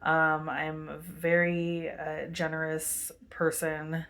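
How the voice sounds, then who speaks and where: A woman vlogging a rambling spiritual monologue to her camera, meandering with many fillers and pauses, with a commanding voice. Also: low-pitched, monotone, vocal fry, fairly bright tone. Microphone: webcam.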